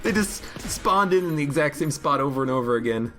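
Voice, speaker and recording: A slightly hoarse man chats his way through a game stream, his pitch bouncing lively between high and low as he reacts. He talks in an easy, rambling manner, and game music plays clearly behind him. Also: fairly quick. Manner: chatty, reads chat aloud, musing. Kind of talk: casual gameplay commentary.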